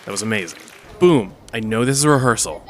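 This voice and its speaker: A man's voice: fairly low pitch, fast talker, natural voice. Man as an encouraging rehearsal director, murmuring room behind. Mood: encouraging, coaching. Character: encouraging, demanding male director of a stage rehearsal. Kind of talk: musical audio drama acting. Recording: noisy room ambience, faint crowd.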